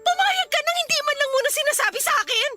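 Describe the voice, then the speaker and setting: Casting: furious Filipino young wife, piercingly high and sharp, scolding, for radio drama. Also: female voice, lively intonation, quick pace, soft background music, exaggerated voice. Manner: emphatic, scolding. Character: angry young wife and mother, indignant, confrontational.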